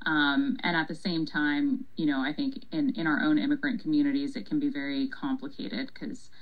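A young woman with a low-pitched voice talks through her story in an interview. She is from Paraguay and serves on a city council, answering in a thoughtful, unhurried conversational way, with frequent ums and easy laughter. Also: flat delivery, dull audio.

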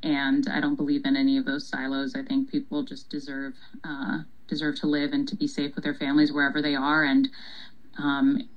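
A young woman with a low-pitched voice talks through her story in an interview. She is from Paraguay and serves on a city council, answering in a thoughtful, unhurried conversational way, with frequent ums and easy laughter. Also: monotone, dull audio.

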